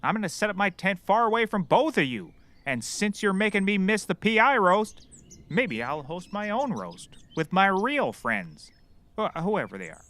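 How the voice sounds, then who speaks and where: An actor playing a clueless, self-important and excitable private eye in a scripted comedy, with bombastic delivery. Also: male voice, high voice, moderate pace. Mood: indignant. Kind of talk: scripted audio comedy acting.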